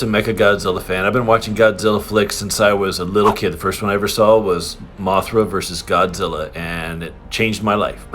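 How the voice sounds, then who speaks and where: A man chatting casually through a toy unboxing and review, with an excitable, giggly fan's enthusiasm. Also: on the low side. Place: indoors in an apartment.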